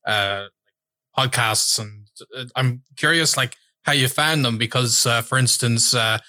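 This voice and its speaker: A man hosting a podcast, asking questions with many fillers and pauses. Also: mid-range voice, sharp tone, no echo. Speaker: podcast host. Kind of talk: casual interview questions.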